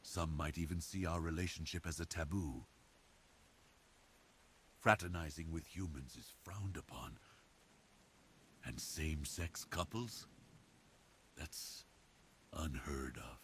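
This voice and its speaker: An exceptionally deep, slow-speaking male werewolf boyfriend, acted by a man in an ASMR roleplay. He is devoted and protective, choosing his words with earnest, reassuring care. Faint noise sits behind the intimate monologue. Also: a bit bright, heavily acted. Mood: serious, explaining.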